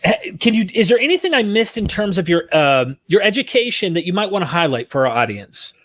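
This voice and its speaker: Male podcast host, lively voice, upbeat interviewer, dry close recording. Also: sits high, a little fast, no echo. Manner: friendly, promotional, questioning. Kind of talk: interview hosting.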